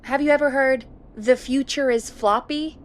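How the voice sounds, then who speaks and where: An actress playing a frank, practical radio producer in a video game, talking in a matter-of-fact, slightly wry way. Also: female voice, high-pitched, fairly even intonation, normal speed, close to an everyday voice. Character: practical, frank woman radio producer in 1980s small town. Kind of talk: video game voice acting, conversational.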